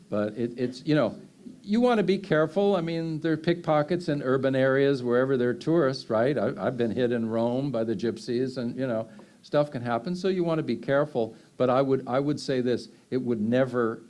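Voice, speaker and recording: A man's voice: medium pitch, darkish tone. A man, answering audience questions in a loose, rambling way full of fillers and restarts. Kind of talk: unscripted Q&A answers.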